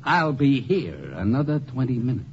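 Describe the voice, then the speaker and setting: An actor with an American accent playing a smug, crude machine politician who taunts in slang, in a 1950s radio drama. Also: male voice, medium pitch, big pitch swings, normal speed, vocal fry, darkish tone. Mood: taunting, dismissive. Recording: muffled, noisy old 1950 radio recording.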